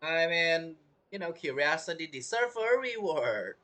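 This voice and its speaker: Animated man rambling over gameplay. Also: fairly high pitch, a little slow. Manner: joking, rambling reactions to the game. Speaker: gaming streamer. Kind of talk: casual gameplay commentary.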